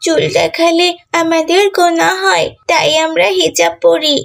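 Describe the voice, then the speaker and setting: A woman's voice: extremely high pitch, flat delivery, a little slow, gravelly, voice pushed up, exaggerated voice. A woman voicing an earnest, polite, devout cartoon schoolgirl who explains herself respectfully.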